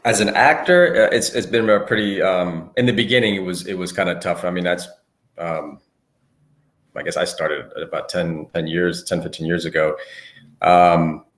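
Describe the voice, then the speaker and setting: A very low-voiced man whose first language is Italian talks haltingly as an actor on a panel, full of ums and restarts. His voice rings in an echoey room. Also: a bit bright. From Italy. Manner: halting, many fillers and restarts. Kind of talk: panel discussion answer.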